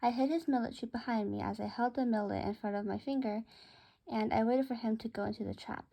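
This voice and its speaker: A woman narrates a children's story in the first person. Her voice sits fairly high, with a very mellow, rounded tone. She reads it out as a storyteller. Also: steady tone, fast, gravelly, rough recording. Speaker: narrator reading a story aloud.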